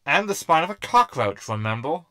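A man's voice: a little high, sing-song, normal speed, sharp tone, clean tone, no echo. A man playing a snide, teasing crewmate with a sarcastic manner in a sci-fi comedy audio drama. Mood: mocking. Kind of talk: sitcom audio drama acting.

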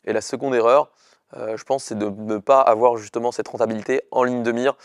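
A man's voice: mid-range voice, steady tone, fairly quick, dry recording, studio quality. A young man, a startup chief executive giving an earnest, hesitant interview to camera in short bursts. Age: young adult. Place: indoor studio with dark backdrop. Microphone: lapel mic.

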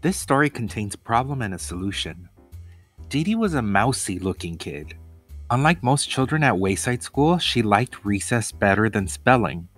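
A man reading a children's story aloud in a deliberate, expressive narration with pauses. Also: fairly low pitch, faint music behind.

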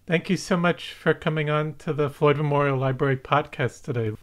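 A man's voice: on the high side, fairly even intonation, a little fast. A man hosting a local podcast, reading a formal, wordy introduction and then asking questions. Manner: formal, ornate wording. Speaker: podcast host. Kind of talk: scripted introduction and interview questions.

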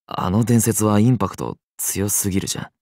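A man's voice: fairly low pitch, steady tone, brisk, darkish tone, dry recording, close to an everyday voice. A man voicing a blunt young warrior in an anime game, casual and slangy with dry retorts. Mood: dry, exasperated. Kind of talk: anime game character acting.

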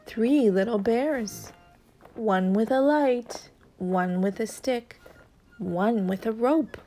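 A woman narrating a picture book aloud in a deliberate, suspenseful storytelling manner for young children. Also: fairly high pitch, mellow, poor audio. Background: music.

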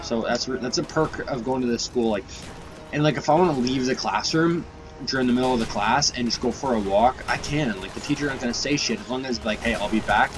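A young man with a stuffy nose and raspy voice from a cold, rambling casually with lots of swearing while playing a game. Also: mid-range voice, fairly quick, over music. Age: young adult. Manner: rambling, swears often, many fillers. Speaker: gaming youtuber. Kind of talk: casual gameplay commentary.

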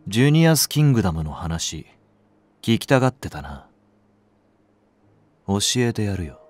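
A man's voice: lowish voice, very animated, moderate pace, darkish tone. An actor playing a blunt, lazy, jaded male nursery teacher in a Japanese voice drama, talking offhandedly. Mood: cool, jaded. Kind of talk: Japanese anime-style voice drama.

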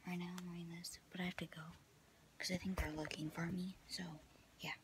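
A young woman chatting casually to her vlog in a halting way, often padding her sentences with "like", recorded on a phone at arm's length in a small room. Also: on the low side, level tone. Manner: halting, filler-heavy storytelling with frequent "like". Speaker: vlogger. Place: small indoor rooms, camper and bedroom. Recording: noisy handheld phone audio.

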